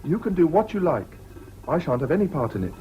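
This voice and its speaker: An actor playing a stubborn, independent senior English schoolboy in a radio drama. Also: male voice, fairly high pitch, big pitch swings, a little fast. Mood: quietly defiant. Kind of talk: British radio drama acting. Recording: dull, hissy old radio recording.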